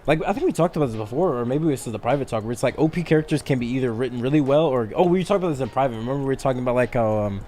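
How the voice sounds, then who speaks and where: A man's voice: mid-range voice, animated, very fast, hardly any pauses, a little mellow, a little hiss or hum. A man in his twenties chatting casually as a podcast co-host, rambling through explanations in slangy language. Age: 20s. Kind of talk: casual chat about anime and manga.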